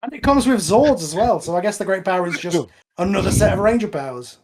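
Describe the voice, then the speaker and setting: A man's voice: sits high, expressive, fast talker, darkish tone, slightly rough. A man giving casual, conversational movie commentary as part of a podcast.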